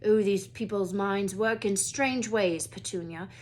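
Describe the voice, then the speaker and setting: A woman's voice: medium pitch, a little slow, a little mellow, natural voice. A woman voicing a flustered, stubborn, angry middle-aged uncle in a storybook, with blustering force. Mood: stubborn scheming.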